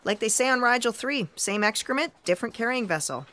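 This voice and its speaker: A knowing female editor-in-chief voiced by an actress narrates a comic sci-fi radio play. Her voice sits a little high, and she explains things with matter-of-fact authority. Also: animated, a little fast, fairly bright tone.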